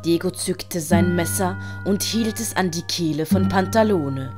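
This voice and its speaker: A woman's voice: lowish voice, unhurried. A woman narrating a scripted audio story. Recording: loud music and storm effects behind.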